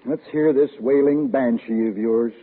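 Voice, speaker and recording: An actor playing a patient but firm father in a 1950s radio drama, mock-formal and gently teasing in his paternal manner. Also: medium pitch, a little slow, husky, soft background music. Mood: indulgent, skeptical. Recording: tinny, faintly noisy 1950s radio recording.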